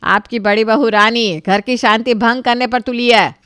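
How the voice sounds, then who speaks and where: A woman voicing a middle-aged mother-in-law, motherly but quick to scold, in a family story. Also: fairly high pitch, fairly even intonation, a bit bright, clear, resonant tone, no room sound, studio quality, pitched above the performer's own voice, lightly acted. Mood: angry, scolding.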